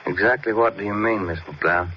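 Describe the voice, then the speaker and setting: An actor playing a calm, courteous police detective asking plain questions in a 1950s radio drama. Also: male voice, lowish voice, moderate pace, lightly acted. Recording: noisy, dull old radio recording.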